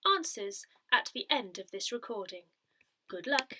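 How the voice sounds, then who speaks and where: A woman reading a parish newsletter and its notices aloud from a script, measured and careful with each detail. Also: on the high side, big pitch swings, noisy background. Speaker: reader of a parish newsletter audio edition.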